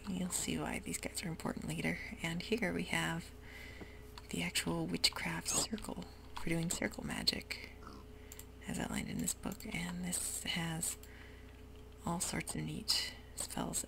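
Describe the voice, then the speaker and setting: A woman whispering softly through a relaxed, rambling ASMR game commentary. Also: deep voice, vocal fry, low-quality recording. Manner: soft whisper, rambling and easygoing. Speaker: YouTuber playing a game. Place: home room with sprinklers outside.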